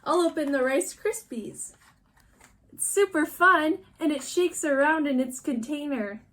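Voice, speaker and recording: A woman's voice: high voice, a little slow, fairly bright tone, low-quality recording. A woman narrating a casual toy unboxing for children, using simple phrases and small exclamations.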